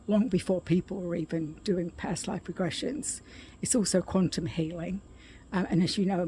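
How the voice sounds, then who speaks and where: A middle-aged woman talks softly into her phone camera, her voice scratchy and a bit gravelly, sitting slightly low. She clears her throat often and fills pauses with ums, unhurried and chatty. Faint outdoor ambience sits under her. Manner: soft-spoken, frequent throat clearing and ums. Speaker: vlogger. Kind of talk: casual spoken update. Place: outdoors at her condo, quiet yard with birds.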